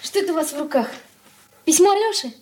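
An actress playing an eager young daughter in a radio play, with a quick, earnest girlish delivery in a roomy, echoing recording. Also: high-pitched, animated, darkish tone, a little hiss or hum.

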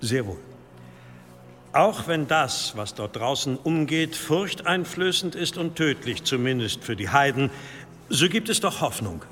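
Solemn monk in a reverberant hall. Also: male voice, medium pitch, animated, unhurried, fairly bright tone. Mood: grave, solemn. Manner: formal, grave, unctuous toward superiors. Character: solemn, deferential monk preaching of a demon. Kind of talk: radio drama oratory. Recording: long church-like reverb, faint noise.

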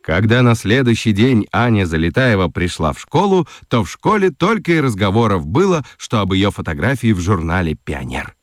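A man narrating a children's radio play in an expressive storytelling manner. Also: lowish voice, normal speed, few pauses, no echo, clean studio sound.

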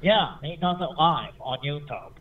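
A man calling in to a show, speaking in a nasal voice with a halting delivery. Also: fairly high pitch, animated, husky, recorded from a distance. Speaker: caller. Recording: tinny phone line.

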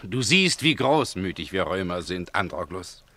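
An actor playing a vain, cowardly and pompous Roman emperor who declaims grandly in a radio drama. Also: male voice, deep voice, big pitch swings, measured pace, loud music behind the voice. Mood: grand, boastful. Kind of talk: theatrical radio play acting.

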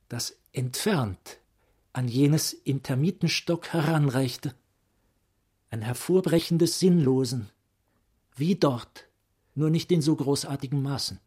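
An actor in a radio play playing a philosophical, probing psychiatrist with an eloquent, rhetorical manner. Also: male voice, a little high, a little mellow, breathy edge. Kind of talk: radio drama acting, literary monologue.

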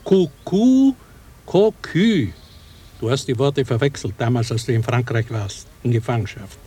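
An actor playing a patient, friendly village schoolmaster, gently explaining, in a radio drama. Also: male voice, medium pitch, lively intonation, measured pace, breathy edge. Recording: hissy old cassette recording.

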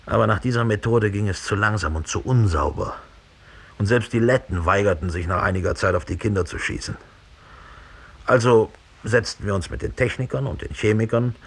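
An actor playing an unrepentant Nazi perpetrator in a radio drama, speaking in a husky, measured, deliberate monologue with a needling edge. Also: male voice, lowish voice, fairly bright tone, full character voice. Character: unrepentant German Nazi perpetrator, coldly confessing, smugly rational.

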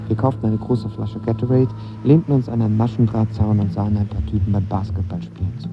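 A man's voice: on the low side, flat delivery, quick pace, dull audio, music in the background, natural voice. An actor playing a lovestruck, insecure young actor who tells of his first love in a radio drama, intimate and colloquial. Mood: wistful narration. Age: young adult. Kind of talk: radio drama acting and first-person narration.

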